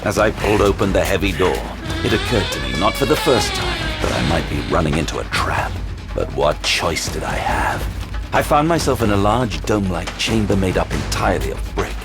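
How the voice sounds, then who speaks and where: An actor playing a haunted, wounded detective, narrating a grim horror audio drama with grave intensity. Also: male voice, low-pitched, wildly swooping pitch, fairly quick, full character voice. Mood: tense, pained. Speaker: narrator and lead character. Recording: loud music bed throughout.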